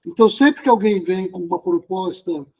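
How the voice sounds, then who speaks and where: A man's voice: sits high, normal speed, dark tone, poor audio. A man leading a study group discussion, musing aloud and explaining, often checking in with tag questions.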